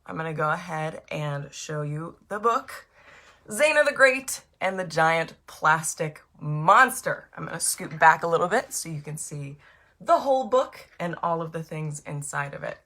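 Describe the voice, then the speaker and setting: A woman leads a children's story time with a bright, forceful voice, pitched slightly low. She is animated and encouraging as she speaks straight to young listeners. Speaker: author reading a storybook to children.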